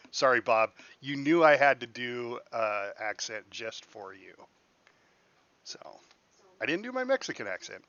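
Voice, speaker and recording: A man's voice: medium pitch, big pitch swings, takes it slowly, long pauses, bright, forceful tone, no echo, highs cut off. A man streaming a space game, chatting casually with his chat, joking and laughing often. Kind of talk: casual live gameplay commentary.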